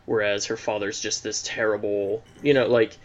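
A man's voice: fairly low pitch, level tone, normal speed, muffled recording. A man chatting on a film podcast, hesitant and full of fillers. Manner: hesitant, many fillers and likes. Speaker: podcast co-host. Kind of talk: casual film podcast chat.

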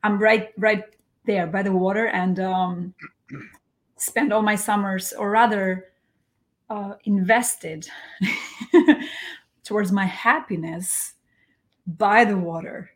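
A woman with an Albanian accent chats as a guest in a casual interview. Her voice sits at an ordinary female pitch, and she is playful and emphatic, repeating phrases to make her point. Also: steady tone, slow, long pauses.

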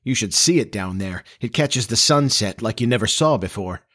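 A man voicing an eager, starry-eyed nineteen-year-old farm boy in a storybook, with an excitable, folksy, boyish manner. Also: medium pitch, normal speed, voice pushed up, natural voice. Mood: excited.

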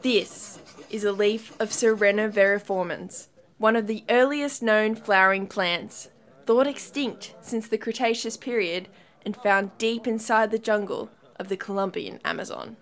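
A woman playing an earnest scientist giving a measured, educational conference lecture in an audio drama. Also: mid-range voice, a bit bright, natural voice. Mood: earnest, wondering. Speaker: scientist presenter.

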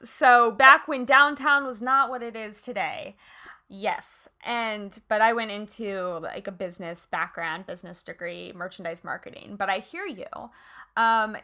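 A woman's voice: medium pitch, sharp tone. A woman hosting an interview show, talking in a casual, chatty and rambling way. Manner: chatty, rambling, lots of "like" and "you know". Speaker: podcast host.

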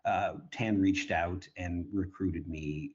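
Priest from canada on a muffled remote line, lowish voice, keeps restarting words. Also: male voice, steady tone, a little mellow. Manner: measured, restarts words often. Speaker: priest and exorcist guest. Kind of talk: remote interview answers. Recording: dull lo-fi remote audio.

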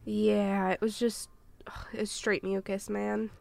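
A woman's voice: medium pitch, flat delivery, unhurried, creaky, a crowd in the background. A woman co-hosting a podcast in casual chat, sounding sniffly and congested from a cold. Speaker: podcast co-host.

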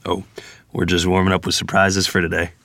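A man's voice: sits low, monotone, fairly quick, a bit bright, no echo. A man acting genial, wry men in a dramatic script reading of a period story. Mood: dryly teasing. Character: genial jazzman or wry rural ferryman father. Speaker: actor at a screenplay table read.

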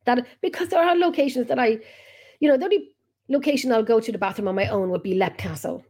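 A woman's voice: fairly high pitch, fast talker, a little mellow. A woman with an Irish accent hosting a casual chat over a video call, telling long rambling stories and interrupting herself often. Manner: chatty, rambling, self-interrupting storyteller. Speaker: podcast host and paranormal investigator.

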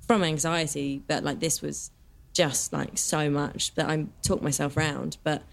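A young adult actress talking candidly in a casual podcast chat, full of fillers like "you know" and "like". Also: female voice, low-pitched, fairly even intonation, a little slow, vocal fry. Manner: chatty, candid, many fillers. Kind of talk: casual conversation.

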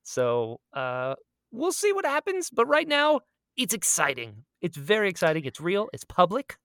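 Male podcast co-host, lively swooping intonation, rambling and self-deprecating, close dry sound. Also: high voice, moderate pace, dry recording. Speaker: podcast co-host and audio drama creator. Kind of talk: casual chat.

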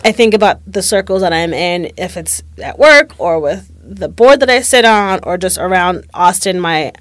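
A woman's voice: on the low side, lively intonation, moderate pace, fairly bright tone, no room sound. A woman in her late twenties talking candidly and reflectively in a casual conversation, peppering her speech with "like". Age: late 20s. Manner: reflective, candid, heavy use of "like".

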